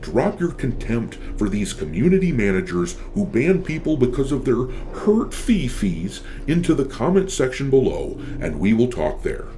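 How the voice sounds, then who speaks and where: A man's voice: medium pitch, very animated, moderate pace, few pauses, dark tone, a little hiss or hum. A man giving opinionated gaming commentary as a channel host, blunt and sardonic, swearing casually.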